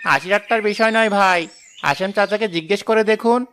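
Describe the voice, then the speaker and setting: Sharp bright voice, fearful villager, earnest, alarmed, cartoon, faint noise. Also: male voice, sits high, fast talker. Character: fearful village man warning of danger.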